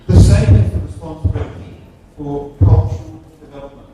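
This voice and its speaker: An Australian man giving a seminar lecture, speaking slowly with emphatic, repetitive phrasing and heavy stresses. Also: fairly high pitch, music in the background. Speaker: guest lecturer. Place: large lecture hall. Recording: very echoey hall from far off, noisy.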